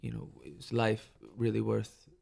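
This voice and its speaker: A man from Detroit, a musician guest on live radio, talking in a reflective, halting way with many restarts. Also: lowish voice, vocal fry, a little mellow. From Detroit, United States. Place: radio studio.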